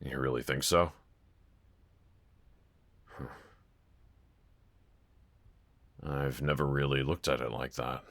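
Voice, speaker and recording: A man's voice: very deep voice, fairly even intonation, a little slow, fairly bright tone, heavily acted. A man playing a brooding young villain roommate in an intimate audio roleplay, confiding hesitantly and wryly to one listener. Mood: guarded, pensive. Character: brooding young male villain roommate, self-loathing but softly grateful.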